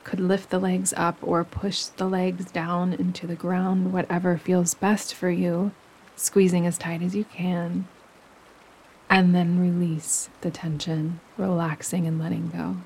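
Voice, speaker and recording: A woman guides a relaxation meditation very slowly and gently. Her voice is on the low side and stays very even in pitch. Running stream water sounds softly behind her. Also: slight background noise, rough recording. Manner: soothing, gentle instruction with unhurried pauses. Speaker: yoga nidra meditation guide.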